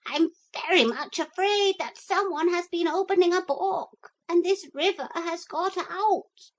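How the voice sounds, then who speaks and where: A woman's voice: medium pitch, sing-song, slow, fairly bright tone, voice pushed up, full character voice, poor audio. A woman voicing a bossy, talkative parrot guide in a storybook reading. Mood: alarmed.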